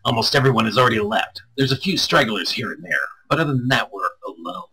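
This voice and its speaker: A man with a husky, strained voice reading a visual novel aloud expressively, with crude joking asides and stumbles over lines. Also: on the low side, expressive, quick pace, bright, forceful tone. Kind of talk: expressive read-aloud with casual banter.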